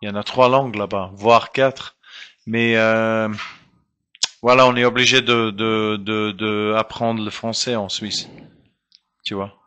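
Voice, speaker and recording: Guy talking slow in french, bright edgy voice, keeps restarting and hunting for words. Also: fairly low pitch, steady tone, clear, resonant tone. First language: German. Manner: hesitant, searching for words with many restarts. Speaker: guest musician. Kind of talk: casual interview talk.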